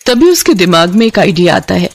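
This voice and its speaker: A woman's voice: fairly low pitch, very animated, fairly quick, breathy edge. A woman narrating a scripted children's moral story.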